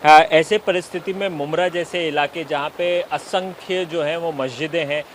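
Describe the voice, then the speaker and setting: A man's voice: on the high side, fairly even intonation, moderate pace. A man reporting and interviewing on location for TV news, in hesitant, filler-heavy phrasing. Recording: outdoor street noise, traffic.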